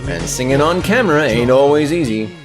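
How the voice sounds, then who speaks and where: A man's voice: medium pitch, lively intonation, brisk, over music. A middle-aged man narrating a showcase video as host, in a playful, jokey and theatrical scripted style. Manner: jokey, wry, theatrical phrasing. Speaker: host presenting viewer submissions.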